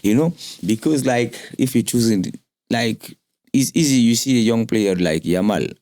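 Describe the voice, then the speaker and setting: A man from Guinea, a footballer guest, giving advice in a soft-spoken way with loose phrasing. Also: mid-range voice, normal speed, no room sound. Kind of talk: casual interview chat.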